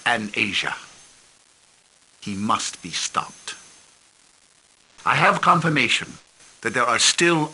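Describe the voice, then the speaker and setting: An actor playing a formal, grave intelligence chief who briefs a commander in a game cutscene, measured and dropping low at the ends of lines. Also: male voice, bright, forceful tone, a little hiss or hum, heavily acted, poor audio. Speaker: mission commander on a video link.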